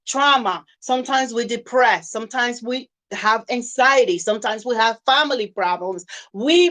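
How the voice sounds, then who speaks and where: Woman business coach, middle-aged, speaks English with slips; fairly high voice, emphatic and repetitive motivational delivery. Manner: earnest, emphatic, repeats phrases for stress; non-native English with small grammar slips. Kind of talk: motivational teaching talk.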